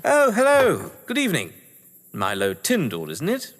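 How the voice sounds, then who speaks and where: A man's voice: sits high, huge pitch swings, moderate pace, a bit bright, a little hiss or hum, exaggerated voice. An actor playing a jovial, theatrical English crime novelist at his manor, genteel and effusive, in an audio drama. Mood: effusive welcome. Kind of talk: stage thriller audio drama acting. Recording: long reverberant hall echo.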